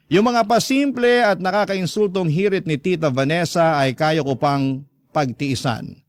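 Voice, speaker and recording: A man reading a personal story as a radio narrator. His voice is slightly high for a man and recorded close and dry. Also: no room sound.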